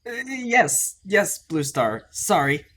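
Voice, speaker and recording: A man's voice: on the high side, big pitch swings, takes it slowly, fairly bright tone, heavily acted. A man playing an anxious, earnest young male apprentice cat in an audiobook drama, speaking hesitantly. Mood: flustered, apologetic. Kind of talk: fan-dub audiobook drama acting. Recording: echoey, reverberant, distant-sounding voice.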